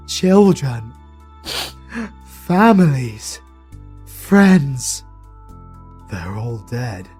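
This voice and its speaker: A man with a British accent playing a grief-stricken male demon hunter who speaks haltingly and breaks into anguished shouts. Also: a little high, breathy edge. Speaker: voice actor. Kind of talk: fantasy ASMR audio roleplay.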